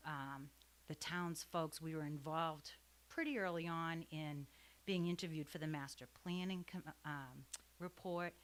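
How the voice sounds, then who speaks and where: A woman farm owner speaking informally at a public meeting in a relaxed, conversational way. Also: low-pitched.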